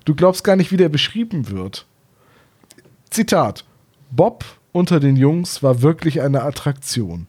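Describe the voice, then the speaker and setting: Male podcast co-host, 30s, from Germany, lightly raised pitch, enthusiastic casual banter. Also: big pitch swings, a little slow, a little mellow, slightly rough.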